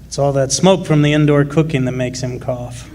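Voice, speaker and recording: A man's voice: mid-range voice, fairly even intonation, moderate pace, dark tone, close to an everyday voice. A man playing an earnest, plainspoken working-class miner and father in a live radio drama. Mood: steady, earnest. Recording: faint noise, live stage recording.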